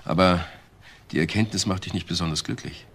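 An actor playing a brisk, matter-of-fact assistant detective reporting in a German crime radio drama. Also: male voice, sits low, fairly bright tone, slight background noise. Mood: businesslike. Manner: businesslike.

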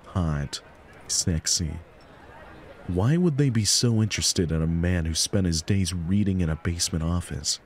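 A man's voice: low-pitched, a little hiss or hum. A man narrating a visual novel aloud as scripted story reading. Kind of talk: scripted narration with offhand asides.

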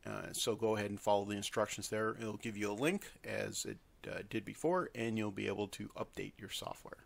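A man giving an unscripted screen-recorded software tutorial, hesitating often as he explains each step. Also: on the low side, brisk, vocal fry.

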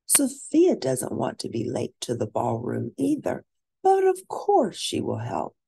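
A woman reading a children's storybook aloud expressively, with drawn-out emphasis for young listeners. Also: very deep voice, huge pitch swings, creaky, dark tone, slight background noise. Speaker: storybook reader.

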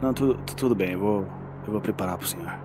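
A man's voice: fairly low pitch, fast talker, music in the background, lightly acted. A man playing an easygoing small-town bartender in an audio drama, talking in a colloquial, familiar way. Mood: firm, uneasy.

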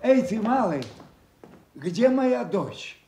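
A man's voice: sits high, big pitch swings, slow pace, creaky, echoey room, background noise, full character voice. A man acting an imperious, impatient old king in a theatrical radio drama, abrupt and demanding.

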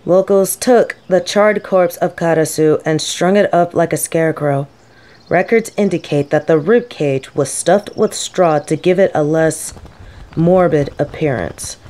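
A gentle female professor, played by a woman in an audio roleplay. Her voice sits slightly low, with a warm, relaxed tone. She is scholarly and thoughtful, choosing formal words. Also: a little slow, close to an everyday voice. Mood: measured, documentary. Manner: soft-spoken, thoughtful, formal wording.

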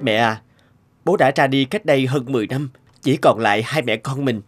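A man voicing a dutiful but stifled young adult son in an animated moral tale, speaking earnestly and politely with a plaintive edge. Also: mid-range voice, moderate pace, faint music behind, natural voice. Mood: downcast.

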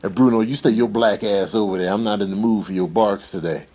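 A man's voice: fairly low pitch, fairly quick, a little mellow, husky. An American man doing improvised comedic animal voice-overs with theatrical, slangy swagger. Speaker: comedy voice-over performer. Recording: noisy compilation clip audio.

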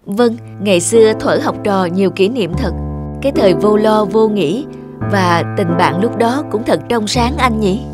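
A woman playing a modest, self-effacing young wife in a cartoon, gentle and hesitant. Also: medium pitch, measured pace, close to an everyday voice. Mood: nostalgic, wistful. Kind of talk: cartoon dialogue. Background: music.

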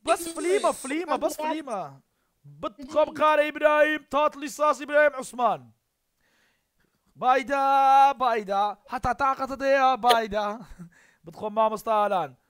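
A man's voice: very high-pitched, rapid-fire, a bit bright, no room sound. A man in his thirties with a Kurdish accent, chatting casually as a livestream host and repeating short phrases into a headset mic. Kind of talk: casual chat with strangers on a livestream.

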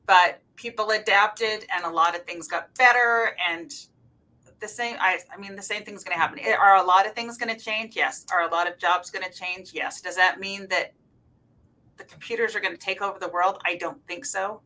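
A woman's voice: mid-range voice, steady tone, normal speed, pushed and bright. A woman on a faculty panel, talking informally and casually over a video call. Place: office, on a group video call.

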